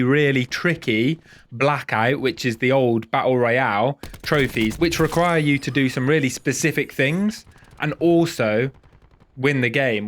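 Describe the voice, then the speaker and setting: A man's voice: medium pitch, fairly bright tone. Man, stuffy nasal voice from a cold, casual gaming chat, self-mocking. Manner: chatty, self-deprecating. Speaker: gaming channel host. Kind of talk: casual video commentary.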